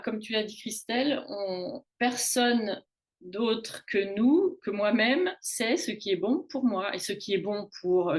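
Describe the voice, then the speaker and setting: A woman in her late forties speaks as a guest on a talk show, in a loose conversational way. She often stops, repeats a word and restarts, filling the gaps with small fillers as she thinks aloud. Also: medium pitch. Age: late 40s. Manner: halting, many restarts and fillers. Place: in Canada.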